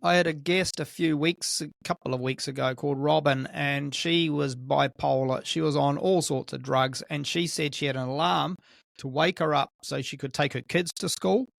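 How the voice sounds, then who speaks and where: A man's voice: on the high side, steady tone, moderate pace, vocal fry, no room sound, clean studio sound. A man hosting a podcast interview, talking in a conversational, empathetic way. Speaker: podcast host.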